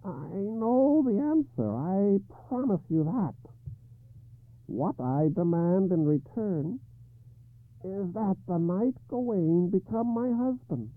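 A man voicing a grotesque hag with a harsh, croaking voice, speaking slowly and coolly. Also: high voice, expressive, no echo, phone-quality sound, pitched above the performer's own voice, exaggerated voice. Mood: cold. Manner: cool, certain, slow.

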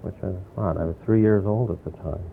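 An older man from New York with a very low, gravelly voice tells long, wandering stories, circling back and restarting his sentences. The recording is thin and tinny like an old phone line, with a faint hiss underneath. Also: a little fast. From New York, USA. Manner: rambling, reflective storytelling with restarts. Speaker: interviewee. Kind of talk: oral history interview.

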